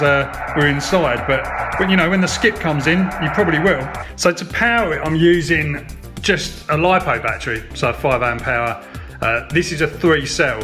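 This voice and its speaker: A man giving a relaxed, matter-of-fact hands-on demonstration as the host of a hobby radio video. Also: mid-range voice. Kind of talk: casual demonstration chat.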